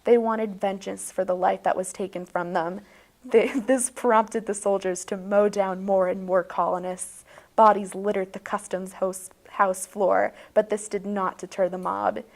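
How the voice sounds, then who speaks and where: A woman's voice: medium pitch, level tone, a little slow, vocal fry. A woman hosting a video, narrating a tongue-in-cheek bedtime story in a mock-serious, dramatic way.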